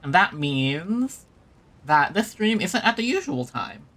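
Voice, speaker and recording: A game streamer giving casual livestream commentary, talking in a halting way that trails off. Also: mid-range voice, big pitch swings, a bit bright. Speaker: streamer, host.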